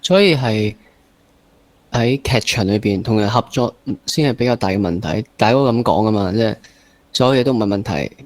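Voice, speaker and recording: A man's voice: on the low side, expressive, brisk, dry recording. Hong Kong man interviewing, close dry sound. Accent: Hong Kong Cantonese. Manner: probing, summing up and playfully challenging the guest. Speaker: podcast interviewer. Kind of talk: casual conversational interviewing.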